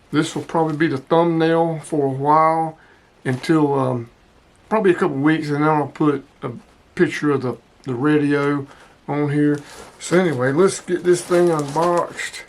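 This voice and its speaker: A man's voice: fairly high pitch, slight background noise. A man giving casual, hands-on unboxing commentary, informally thinking aloud as he handles the gear. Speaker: vlogger unboxing radio gear.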